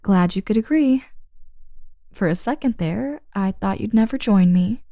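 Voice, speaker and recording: A woman's voice: mid-range voice, expressive, unhurried, dark tone, no echo. Woman acting a playful, teasing young woman, dry close sound. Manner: playful, teasing, casual, mock-exasperated. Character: teasing, confident young woman, friend's older sister. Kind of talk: intimate roleplay audio drama, addressed to listener.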